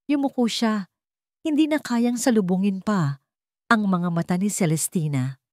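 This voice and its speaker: A woman storyteller reading a scripted dramatic tale in a measured delivery with deliberate pauses. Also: mid-range voice, a little mellow, no room sound, clean studio sound.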